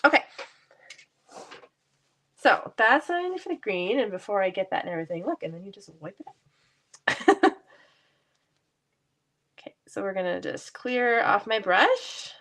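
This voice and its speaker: A woman's voice: mid-range voice, very animated, a little slow, pauses often, a bit bright. A woman with a stuffy cold voice, chatting casually and laughing lightly as she demonstrates card making in her basement craft room. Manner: chatty, laughs often, self-deprecating.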